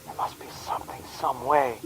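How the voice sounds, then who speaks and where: A man's voice: moderate pace, in a whisper, close to an everyday voice, low-quality recording. A man playing a lovelorn space commander in a radio drama, with an urgent, pleading, emotional delivery. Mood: desperate. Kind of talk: melodramatic radio drama acting.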